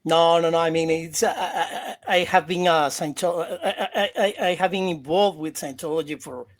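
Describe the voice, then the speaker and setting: A man in his forties with a Mexican Spanish accent, a native Spanish speaker, talking as a guest on a video call. His voice sits a touch high for a man. He stumbles, restarts and repeats words, and laughs easily between phrases. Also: fairly even intonation, moderate pace. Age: 40s. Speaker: interview guest. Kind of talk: casual interview.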